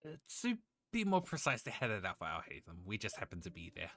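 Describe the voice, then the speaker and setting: Joking male game streamer, very animated. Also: sits low, quick pace, a little hiss or hum. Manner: joking, giggly. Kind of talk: casual gameplay reaction commentary. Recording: faint game music behind the voice.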